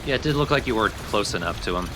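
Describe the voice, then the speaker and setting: A man's voice: lowish voice, expressive, fast, music in the background. A man commentating on a video game with casual, joking banter. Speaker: let's play commentator.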